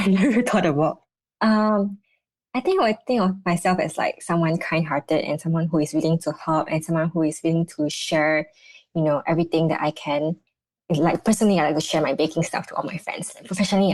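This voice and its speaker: A young woman, a dietitian answering interview questions, bubbly and halting, with many restarts. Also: on the low side, a little mellow, no room sound. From Malaysia. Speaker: guest, clinical dietitian.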